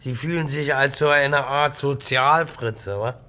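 An actor in a radio play as a drunken, cranky, coarse tenant who rambles and jeers. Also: male voice, mid-range voice, measured pace, pushed and bright. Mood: jeering, provoking. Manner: blunt, coarse, jeering, swinging between barking and muttering. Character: drunken, cranky, rambling jobless male tenant, newly widowed. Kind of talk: radio drama acting.

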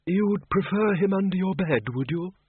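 A crafty old wizard performed by a man in a comic fantasy audiobook, high-voiced and husky. He coaxes like a conspirator, over a narrow phone-like sound. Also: moderate pace, no echo. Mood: sly. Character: Windle Poons, old wizard, sly and quick to coax. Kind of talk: audiobook character voice.

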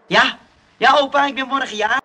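A high, bright, eager boy. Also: fairly quick, full character voice. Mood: eager, surprised. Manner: excitable, childlike, guileless. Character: eager, naive boy excited about his birthday. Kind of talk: Dutch children's radio play acting.

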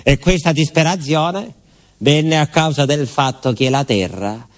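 A man's voice: on the high side, unhurried, close to an everyday voice. Folksy Southern Italian village postman, played by an actor. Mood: wry, rueful. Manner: colloquial, digressive, with rhetorical asides and repetitions. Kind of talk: theatrical storytelling monologue.

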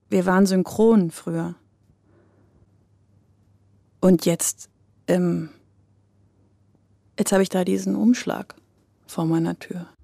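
A reflective woman looking back on her life in a radio play. Her voice is mellow and slightly gravelly, recorded very dry. She narrates in an intimate first person. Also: mid-range voice, no echo. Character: reflective woman remembering her lost childhood best friend.

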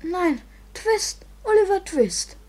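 A woman's voice: very high-pitched, very animated, slow pace, darkish tone, full character voice. A woman playing a timid, earnest, polite orphan boy in a 1950s German radio play.

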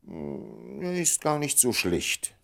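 An actor playing a discreet, dryly evasive gentleman in a German radio play, speaking formally and with measured reserve. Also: deep voice, huge pitch swings, gravelly, full character voice. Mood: guarded, dry.

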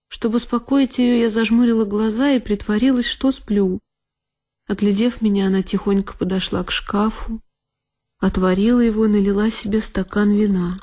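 Woman as mellow-voiced frightened girl narrator. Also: medium pitch, lightly acted. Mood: anxious, hushed. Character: frightened young girl recalling a dreadful night. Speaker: solo performer of an audio drama. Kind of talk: dramatic first-person literary narration. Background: music behind the voice.

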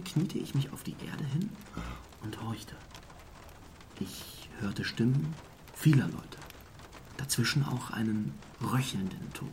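A man playing a talkative, deferential small-town eyewitness in a radio drama, often hushed to a half-whisper. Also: medium pitch. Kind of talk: German radio drama acting. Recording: faint background noise and ambience.

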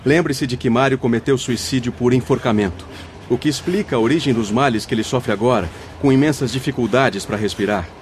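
A man's voice: mid-range voice, slight background noise, close to an everyday voice. A man acting a wise, comforting spirit guide in a radio drama, speaking formally and patiently, as if teaching. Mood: explaining gravely. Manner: measured, formal, didactic. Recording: music under the voice. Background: background music.

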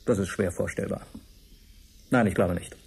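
A man's voice: sits low, normal speed, gravelly, a little mellow, slightly rough, full character voice. A man acting in a radio drama as an evasive institute director and professor who speaks formally and curtly. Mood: guarded. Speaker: actor.

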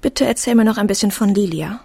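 A young woman playing a fragile but determined sixteen-year-old daughter, quiet and hesitant, with a breathy voice in a radio drama. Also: medium pitch, quick pace, no room sound. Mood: fragile, earnest. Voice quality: breathy, husky.

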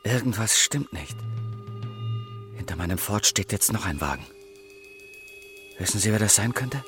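An actor playing an uneasy, brooding amateur accomplice in a heist, anxious and questioning, in a radio crime drama. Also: male voice, lowish voice, lively intonation, moderate pace, music in the background, natural voice. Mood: nervous.

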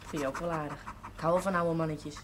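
A woman's voice: deep voice, steady tone, fast, close to an everyday voice. Actress doing a brash cheerful young woman, slangy, period tv drama, bit of hiss behind. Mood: defiant, frank. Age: young adult. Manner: cheeky, slangy, unashamed. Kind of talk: television period drama acting. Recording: noisy old television soundtrack.

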